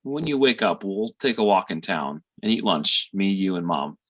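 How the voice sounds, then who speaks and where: An actor playing a weary, tender middle-aged father and physician in a reflective, halting stage monologue. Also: low-pitched, animated, fairly bright tone. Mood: tender, earnest. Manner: reflective, halting with sighs and pauses.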